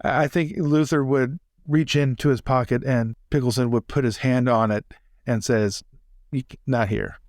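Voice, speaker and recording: A man's voice: mid-range voice, fairly even intonation, normal speed, a little mellow, dry recording, studio quality. A man playing a tabletop game, describing scenes in improvised collaborative storytelling. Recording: faint music behind.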